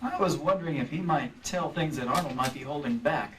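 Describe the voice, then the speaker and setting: Casting: male actor as probing insurance investigator, vintage radio drama, fairly high voice, set back from the mic. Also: a little fast, slight background noise, lightly acted. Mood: curious, probing. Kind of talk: old-time radio detective acting and narration. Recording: distant stage mics, music behind.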